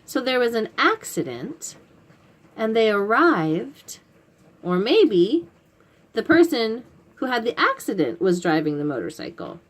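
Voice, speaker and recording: A woman hosting a children's read-aloud, explaining a picture book to little ones in simple, instructive phrasing with questions to the listener. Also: a little high.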